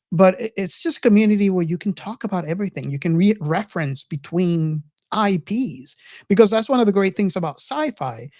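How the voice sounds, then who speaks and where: A woman's voice: deep voice, a little mellow, dry recording, clean studio sound. A woman hosting a podcast in a casual, enthusiastic and earnest way, often repeating and restarting her words. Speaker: podcast host.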